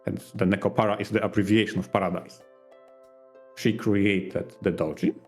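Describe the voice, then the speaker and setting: A man's voice: on the low side, fairly even intonation, normal speed, darkish tone, faint music behind. A man co-hosting a podcast, explaining things casually in careful, roundabout phrases. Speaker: podcast co-host.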